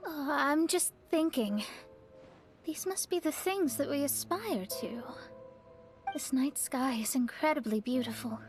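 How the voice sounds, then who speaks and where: Game role: young female astrologist, high voice, earnest and wistful, reflective pacing, faint music underneath. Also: animated, unhurried. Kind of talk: scripted game voice acting.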